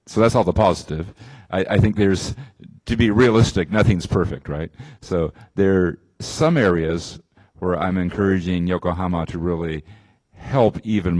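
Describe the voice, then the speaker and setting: A man speaking as a panelist in a conference discussion, relaxed and conversational, with a few restarts. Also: low-pitched, no room sound. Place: conference venue.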